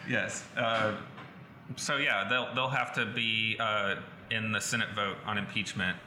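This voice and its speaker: A man co-hosting a podcast, giving a casual news rundown with a hesitant, halting delivery. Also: fairly low pitch, fairly even intonation, pushed and bright. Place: indoor studio under renovation. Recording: echoey room, faint background noise.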